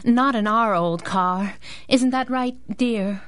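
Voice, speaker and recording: An actress playing a bold, forthright, quick-witted woman sleuth in a 1930s-style radio drama. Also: fairly high pitch. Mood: forthright, polite.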